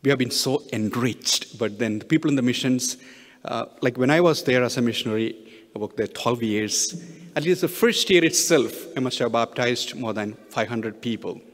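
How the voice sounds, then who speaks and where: A middle-aged man, a priest giving a homily with personal stories in an earnest, halting way, often restarting his phrases. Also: medium pitch. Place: church, at the pulpit. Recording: long hall reverb.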